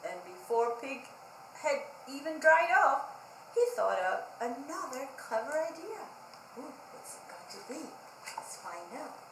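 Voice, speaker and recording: A woman hosting children's storytime, speaking in a sing-song, deliberate way with simple phrasing, as for reading a picture book aloud. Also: sits high, very animated, a bit bright, slight background noise. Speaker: children's library storytime host. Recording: roomy echo.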